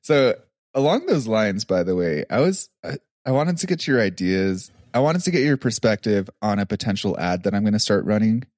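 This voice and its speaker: A man's voice: on the low side, very animated, normal speed, a little mellow, no room sound, clean studio sound. A man co-hosting a podcast, joking around and laughing often in casual chat. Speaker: podcast co-host and producer.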